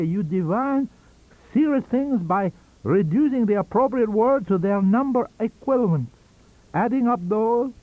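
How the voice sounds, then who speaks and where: A man voicing a cunning, wheezy old foreign scientist-magician in an audiobook, with a theatrical, halting and emphatic delivery. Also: high-pitched, no room sound, pitched above the performer's own voice. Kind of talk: audiobook character reading. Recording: dull lo-fi audio cassette.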